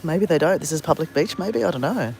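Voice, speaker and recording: A man with a sore throat and a nasal, slightly higher voice talks casually to viewers on a live stream. He rambles on a beach at sunrise, speaking into a phone at arm's length. A faint outdoor hum sits behind him. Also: expressive, fairly quick, vocal fry, darkish tone. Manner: chatty, rambling, greets viewers by name. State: sore throat, has flu. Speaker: travel vlogger.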